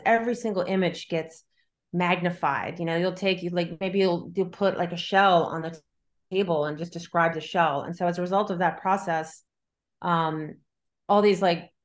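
A middle-aged woman talking casually as an interviewed novelist, thinking aloud in loose, looping sentences. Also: fairly low pitch. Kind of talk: casual conversational interview about writing.

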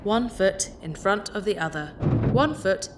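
A woman's voice: mid-range voice, animated, unhurried, background noise, close to an everyday voice. A woman playing a childlike, eager, proud ship's computer in a sci-fi audio drama, speaking literally and in the third person.

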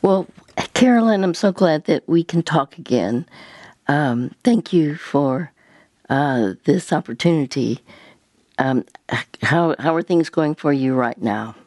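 Slow, halting older woman radio host. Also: deep voice, lively intonation, a little mellow, no room sound, studio quality. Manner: slow, halting, many pauses and fillers. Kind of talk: gentle counseling conversation.

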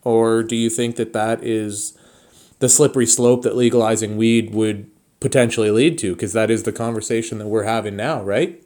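A man hosting a solo podcast, speaking straight to listeners in a deliberate, halting way with pauses mid-thought. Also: lowish voice, flat delivery, a little mellow. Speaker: podcast host.

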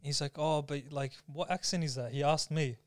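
A young Australian man chatting casually on a podcast, animated and peppering his talk with bro and man. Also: a little high, moderate pace, no room sound.

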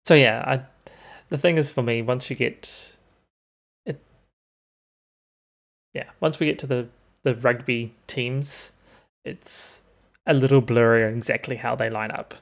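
Podcast co-host, New man, gravelly voice, hesitant rambling chat, muffled lo-fi audio. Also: mid-range voice, fairly even intonation, unhurried. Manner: hesitant, rambling, with many ums and restarts. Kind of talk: casual chat.

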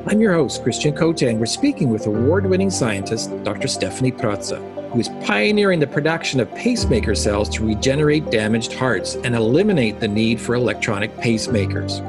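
A man hosting a podcast, reading a scripted introduction and then interviewing a guest in a polished, measured way. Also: medium pitch, animated, music in the background. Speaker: podcast host.

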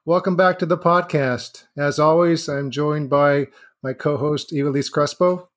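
A man's voice: on the high side, steady tone, measured pace, fairly bright tone. Male host, casual interviewer who wanders through his questions. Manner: loose, rambling phrasing. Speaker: podcast host. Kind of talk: conversational interview.

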